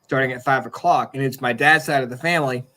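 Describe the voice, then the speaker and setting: A man's voice: medium pitch, quick pace, bright, forceful tone. Casual male podcast host. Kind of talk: casual chat, closing a show.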